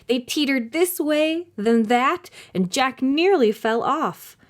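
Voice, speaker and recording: Female storytime reader, highly varied intonation, dramatic and clearly phrased delivery for young listeners. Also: lively intonation, takes it slowly. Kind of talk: expressive scripted storybook narration for children.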